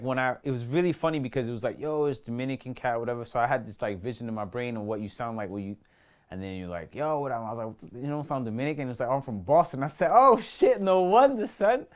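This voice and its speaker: A man's voice: medium pitch, lively intonation, a little fast, clear, resonant tone. Slangy New York man chatting in an interview. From New York City. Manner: slangy, repeats himself, acts out quoted remarks. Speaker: actor being interviewed. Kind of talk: casual interview chat.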